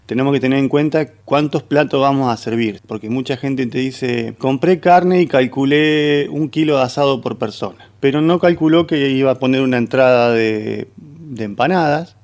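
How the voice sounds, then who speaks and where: This is a man with an Argentine accent and a medium-pitched voice. He speaks as a radio guest, explaining things in a conversational way. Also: moderate pace, darkish tone. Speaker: food segment guest giving cooking advice.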